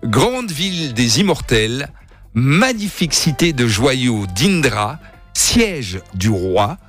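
A man hosts a morning radio slot in a playful, theatrical way, stretching out his lines for effect. His voice sits at an everyday middle pitch. A faint music bed runs underneath. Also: sing-song, slow pace, a bit bright. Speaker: radio host.

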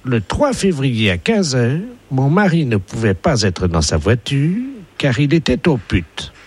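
A man's voice: mid-range voice, very animated, measured pace, breathy edge. A man voicing a prim, naive older woman, an academician's wife, making a prank phone call. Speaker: prank caller.

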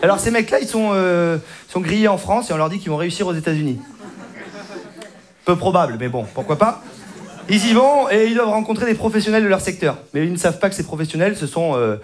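A man performing a quick, mocking stand-up set in a comedy club, slangy and acting out characters. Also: high-pitched, expressive. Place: comedy club stage with audience. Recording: live club with loud crowd laughter.

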